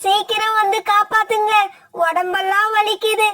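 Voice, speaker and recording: A woman's voice: extremely high pitch, flat delivery, moderate pace, bright, forceful tone. A woman voicing a whiny, lazy female crow in a children's cartoon story. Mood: pained, whining.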